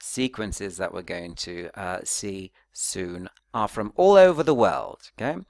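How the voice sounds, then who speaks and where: A man with a fairly low voice gives an informal academic lecture, recorded close and dry. He explains things step by step in a conversational, teacherly way, often checking in with the listener. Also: animated, a little slow, creaky, a little mellow, no echo. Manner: conversational, didactic, checks in with rhetorical questions and tags. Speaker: academic presenter, phonetics researcher.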